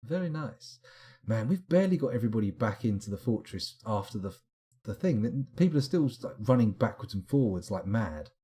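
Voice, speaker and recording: A man's voice: on the low side, sing-song, a little fast, dark tone. Tired, chatty man. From England. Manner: rambling, chatty, reads chat aloud. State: tired late at night. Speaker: game streamer. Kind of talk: casual live-stream chat.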